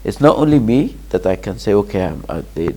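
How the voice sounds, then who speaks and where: A man from Afghanistan answering interview questions as a guest, pausing and restarting often. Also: on the low side, big pitch swings, warm tone. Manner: hesitant, many restarts and fillers, laughs in places. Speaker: guest, charity founder.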